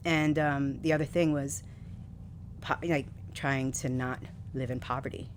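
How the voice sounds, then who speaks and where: Poet guest, New woman, very low voice, candid and lively, faint noise behind. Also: unhurried. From New York City. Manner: candid, animated storytelling with frequent laughs. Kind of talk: casual interview conversation.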